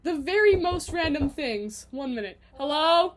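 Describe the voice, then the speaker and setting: Giggly Canadian young woman, high voice. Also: lively intonation. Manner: chatty and self-mocking, quick giggly asides. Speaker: vlogger. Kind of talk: rambling casual monologue to camera.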